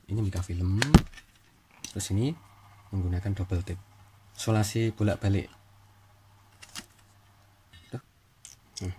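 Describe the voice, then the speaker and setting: A man's voice: low voice, mellow, a little hiss or hum. A man giving an informal hands-on tutorial, talking viewers through the work in short step-by-step phrases. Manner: talks in short step-by-step phrases while working, addressing viewers. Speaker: repair tutorial host.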